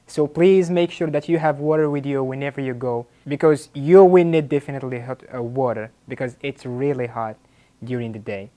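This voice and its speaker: A man's voice: fairly high pitch, a little mellow. Earnest young Moroccan-accented man hosting a travel vlog, speaking straight to camera. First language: Arabic. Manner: earnest, repeats words while searching for them. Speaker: travel series host. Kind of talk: presenting to camera.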